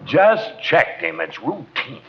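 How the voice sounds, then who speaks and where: An actor playing a gruff, plain-talking, slightly awkward police chief in an old-time radio comedy. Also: male voice, medium pitch, wildly swooping pitch, slow, exaggerated voice. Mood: gruff, official. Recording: muffled, faintly noisy vintage radio recording.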